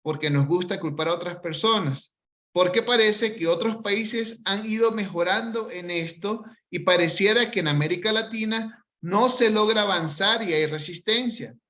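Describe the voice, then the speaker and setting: A man's voice: sits high, normal speed, fairly bright tone. A man moderating an online talk, politely reading out audience questions over a video call. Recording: dull lo-fi sound.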